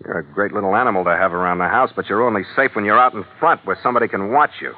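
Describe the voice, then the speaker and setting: An actor playing a hard-boiled, suspicious ship's skipper in old-time radio noir style, terse and sardonic. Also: male voice, lowish voice, racing, natural voice. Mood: accusing. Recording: tinny vintage radio recording, faint music.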